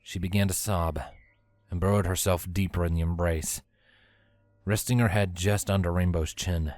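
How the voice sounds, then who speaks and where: A man's voice: sits low, steady tone, normal speed, a little mellow, no room sound. A man reading scripted story narration as the narrator of an audio storybook.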